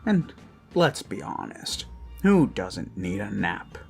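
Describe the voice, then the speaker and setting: A performer of unclear sex improvising an ASMR roleplay as an obsessed kidnapper who dotes menacingly on a captive, halting and slipping into whispers. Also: low voice, sing-song, gravelly, exaggerated voice. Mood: soothing, threatening. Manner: slow, halting, lapsing into whispers and giggles. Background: eerie background music.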